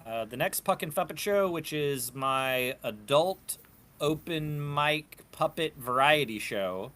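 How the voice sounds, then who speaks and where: Bright, clear-voiced man chatting. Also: a little high, lively intonation, slow. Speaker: panel guest, puppet show host. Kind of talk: casual panel chat.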